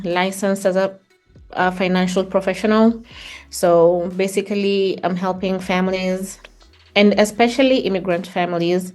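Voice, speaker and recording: Kenyan accent woman, middle aged, bit low voice, chatting about her life, soft music behind. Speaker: interview guest. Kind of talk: reflective personal storytelling in conversation.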